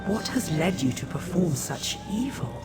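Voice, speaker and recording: A woman playing a solemn, stern living goddess passing judgment in a fantasy video game, regal and measured. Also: on the low side, very animated. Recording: loud music over the voice.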